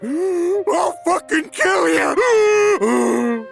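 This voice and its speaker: Nasty little punk bully for a game, really gravelly n high, slow snarl. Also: female voice, animated, soft background music, full character voice. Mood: menacing. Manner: menacing, snarling. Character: foul-mouthed, threatening young punk bully.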